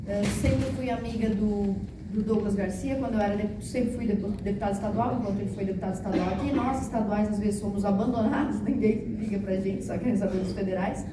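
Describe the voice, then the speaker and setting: A woman's voice: mid-range voice, fast, few pauses. A woman from Santa Catarina with a rural Santa Catarina accent, a former state deputy giving a speech at a public event, thanking people and joking with the audience. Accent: rural Santa Catarina Portuguese. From Santa Catarina, Brazil. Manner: warm joking asides, grateful acknowledgments. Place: large council hall with audience. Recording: distant mic, echoey hall, noisy crowd.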